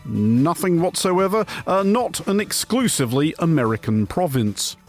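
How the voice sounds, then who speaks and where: Deadpan male satirist, higher-pitched, over music. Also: moderate pace. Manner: deadpan, wry, wordy. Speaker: radio presenter of a satirical news review. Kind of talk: scripted satirical narration. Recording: music bed under the voice.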